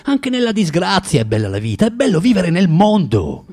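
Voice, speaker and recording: An actor playing a bitter, self-loathing drinker who moralizes haltingly and insistently in a radio drama. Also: male voice, high-pitched, huge pitch swings, a little mellow, full character voice. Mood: pleading, moralizing. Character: bitter, preachy, self-loathing male drinker lecturing a young prostitute.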